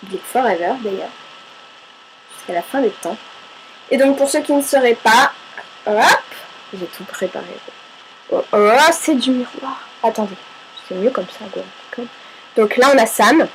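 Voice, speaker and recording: A woman from Paris with a fairly high voice, reading out viewer questions and answering them in a loose, chatty way. She mocks herself, swears now and then and answers her own remarks. A faint hum sits under her voice. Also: a little slow, pauses often, rough recording. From Paris, France. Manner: chatty and self-mocking, argues with herself, swears casually. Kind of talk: casual FAQ chat to camera.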